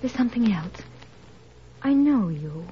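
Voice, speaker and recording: High-voiced earnest teenage niece. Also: female voice, lively intonation, unhurried. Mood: intense, confiding. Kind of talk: 1940s radio acting. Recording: muffled, hissy 1940s radio recording.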